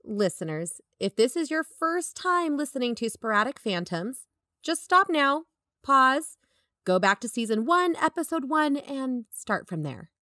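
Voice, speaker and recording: A woman's voice: high-pitched, big pitch swings, measured pace, pushed and bright, dry recording, clean studio sound, heavily acted. A woman playing a frank, determined podcast co-host, talking in a conversational, matter-of-fact scripted style. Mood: exasperated, firm. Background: background music.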